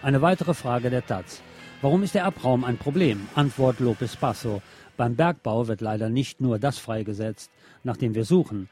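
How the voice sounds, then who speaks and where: A man's voice: mid-range voice, normal speed, darkish tone, soft background music. A man presenting on the radio, reading press articles aloud.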